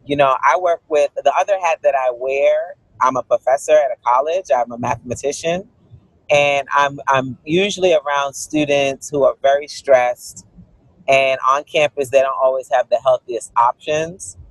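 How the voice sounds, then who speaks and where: A man's voice: mid-range voice, moderate pace, a bit bright, no echo, low-quality recording. A man from Guyana, a cookbook author, talking earnestly in an interview and often saying "you know". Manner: earnest, explanatory, frequent "you know" fillers. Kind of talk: interview conversation.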